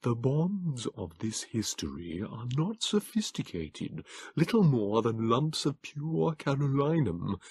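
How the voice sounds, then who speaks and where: A small, frail Morlock pleads in slow, gasping syllables, as a male narrator puts him on for an audiobook. His voice sits high and thin, soft and breathy, worn down by wheezing. The recording is close and dry. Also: no room sound, heavily acted. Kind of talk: audiobook character voice.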